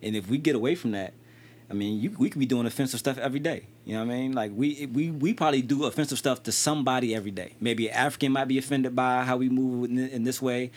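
A man's voice: medium pitch, fast, darkish tone. A man in his thirties hosting a podcast in casual conversation, often asking "you know what I mean". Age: 30s. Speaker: podcast host.

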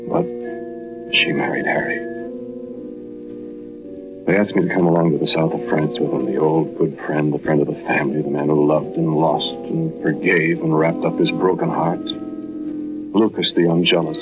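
An actor playing a brooding, jealous murderer, narrating with deliberate intensity in a 1940s radio drama. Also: male voice, extremely low pitch, level tone. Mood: brooding confession. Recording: muffled 1940s radio recording, loud music behind.

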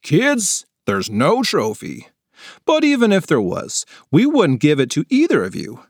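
A man's voice: on the high side, wildly swooping pitch, unhurried, no room sound, studio quality, pitched above the performer's own voice, exaggerated voice. A man doing a stern fair security worker. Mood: scolding. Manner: scolding, matter-of-fact.

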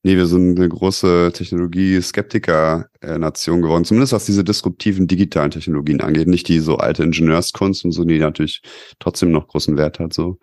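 Low-voiced man, dry close sound. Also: fairly even intonation, brisk, darkish tone, no room sound. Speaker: podcast host. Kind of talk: casual interview chat.

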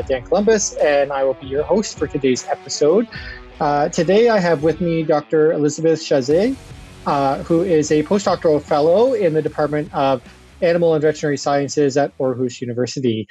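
Mellow, halting male host, dull sound. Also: on the high side, faint music behind. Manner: halting, repeats words. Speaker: podcast host. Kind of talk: casual interview talk.

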